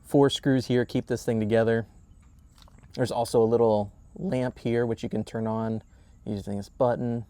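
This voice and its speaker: A man's voice: mid-range voice, fairly even intonation, normal speed, warm tone, no echo. A man, sounding middle-aged, gives a casual product demonstration in a plain-spoken, explanatory way. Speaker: product reviewer.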